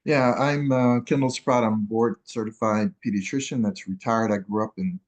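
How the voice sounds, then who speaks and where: Retired male pediatrician from Texas, low, matter-of-fact. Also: fairly even intonation, moderate pace. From Beaumont, Texas. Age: older.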